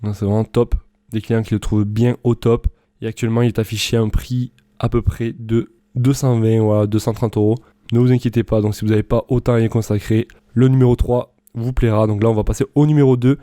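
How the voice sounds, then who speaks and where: Give the voice of a man doing a casual product review voice-over. His tone is mellow and soft-edged, recorded very dry with no room sound. He explains things informally, as if improvising from loose notes. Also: medium pitch, flat delivery, brisk, dry recording. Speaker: presenter of product comparison videos.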